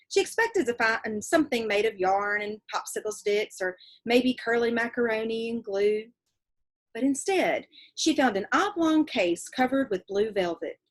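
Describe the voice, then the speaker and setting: Expressive woman reading aloud, slightly husky. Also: a little high, moderate pace. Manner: expressive, explanatory, checking in with listeners. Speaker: teacher reading a book aloud to pupils. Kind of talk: read-aloud storytelling with asides to children.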